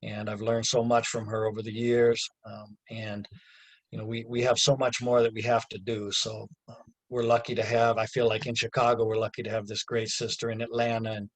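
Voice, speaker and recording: A man with a slightly husky voice speaks over a video call in an earnest, plain-spoken way. He talks like a community organizer closing a webinar, and he often rises into emphatic, raised passages. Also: on the low side, little pitch movement, a little fast. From United States.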